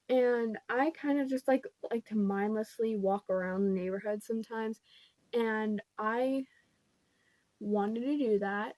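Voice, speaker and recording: A young woman with a somewhat high, congested voice tells a story to her channel. She rambles and circles back, leaning on "like" and "pretty much". The sound is close and dry, with no room around it. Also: darkish tone, no echo, low-quality recording. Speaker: vlogger. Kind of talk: casual storytime.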